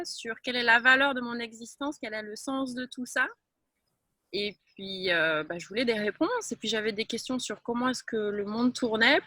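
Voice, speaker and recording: A woman's voice: fairly high pitch, pushed and bright, no echo. A woman from France, a Taoist teacher, talking frankly and emphatically as an interview guest. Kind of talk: reflective interview talk.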